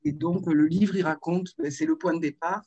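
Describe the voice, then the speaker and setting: A woman's voice: deep voice, fairly even intonation, moderate pace, dark tone, husky, dry recording, muffled recording. Hesitant woman with a dry sound. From Marseille, France. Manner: hesitant, with many fillers and restarts. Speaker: author and interview guest. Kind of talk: personal life story in a casual interview. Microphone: video call.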